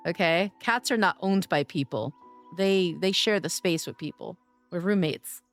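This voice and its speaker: A woman livestreaming a game, chatting playfully with her viewers, her voice a bit hoarse from days of streaming. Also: lowish voice, unhurried, soft background music. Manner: playful, chatty, greeting chat by name. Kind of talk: casual game-stream chat.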